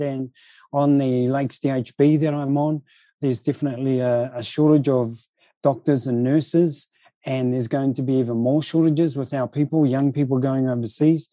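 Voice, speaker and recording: New Zealand man with a very mellow voice. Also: mid-range voice, steady tone, normal speed, no echo. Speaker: interview guest, board chair and speaker. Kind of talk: considered interview conversation.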